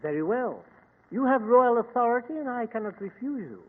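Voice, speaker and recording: A man playing a stern, formal Egyptian foreign minister in an old radio drama, grave and measured. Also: extremely high pitch, expressive. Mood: insistent, formal. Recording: tinny narrow-band old radio recording.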